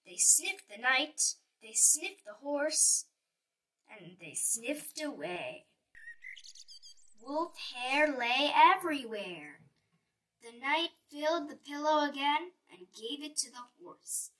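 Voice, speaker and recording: A woman's voice: high voice, animated, slow, sharp tone, recorded from a distance, low-quality recording. A woman reading a children's picture book aloud expressively, with dramatic storytelling emphasis.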